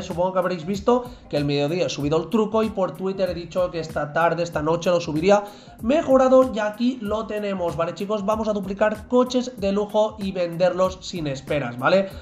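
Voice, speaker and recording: Chatty young Spaniard, high voice. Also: male voice, darkish tone. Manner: chatty, repeats "¿vale?" and "chicos" constantly. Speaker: gaming youtuber. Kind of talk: game tutorial voice-over.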